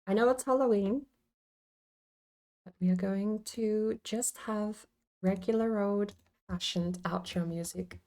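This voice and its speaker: A woman's voice: mid-range voice, takes it slowly, long pauses, a little mellow. A woman giving casual livestream game commentary, rambling and thinking aloud as she plays. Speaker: game streamer.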